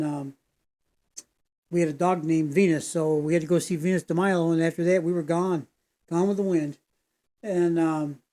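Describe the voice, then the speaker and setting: Guy rambling on about a video, folksy and chatty, kinda mellow warm voice, goes off on tangents. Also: fairly high pitch. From United States. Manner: meandering, folksy, digressive with many fillers. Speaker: reaction video host. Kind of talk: casual rambling commentary.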